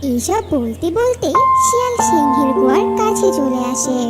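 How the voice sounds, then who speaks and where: A woman's voice: sits high, sing-song, moderate pace, over loud music. A woman narrating a scripted animal fable for children as the storyteller. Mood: storytelling.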